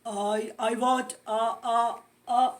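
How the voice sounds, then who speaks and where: A woman voicing an anxious, melodramatic elephant in a children's storybook, halting and stammering. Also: on the high side, little pitch movement. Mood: hesitant. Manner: halting, stammering, overdramatic with loud achoos. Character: anxious, melodramatic sneezing elephant, friend of a piggy. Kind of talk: children's storybook read-aloud.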